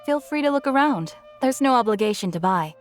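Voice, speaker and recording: A woman's voice: on the high side, animated, a little fast, soft background music, natural voice. A woman playing a practical, blunt middle-aged bookshop keeper in a video game. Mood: businesslike. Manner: blunt, practical, motherly address. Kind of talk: video game character acting.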